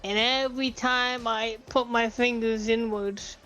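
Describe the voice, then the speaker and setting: Woman co-host, casual profane storyteller; higher voice, slow delivery. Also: fairly even intonation, a bit bright, soft background music, low-quality recording. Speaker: podcast co-host. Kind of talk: casual chat.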